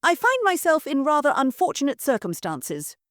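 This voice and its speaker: A woman voicing a refined aristocratic gentleman with a smooth, cultured manner and a sinister edge. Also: high-pitched, wildly swooping pitch, moderate pace, no echo, studio quality, pitched above the performer's own voice, full character voice.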